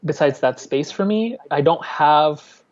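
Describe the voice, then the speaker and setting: A talkative man from Miami, speaking a little higher than most men, loose and candid, circling back on his phrases as he goes. The recording sounds a bit dull and lo-fi. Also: animated, unhurried. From Miami, Florida. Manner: chatty, candid, restarts and laughs often. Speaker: artist, guest. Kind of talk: conversational interview.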